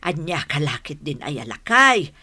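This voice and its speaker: A woman's voice: deep voice, very animated, normal speed, pushed and bright, a little hiss or hum, heavily acted. An actress playing an indulgent, fussing middle-aged farm mother in an Ilocano radio drama. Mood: placating.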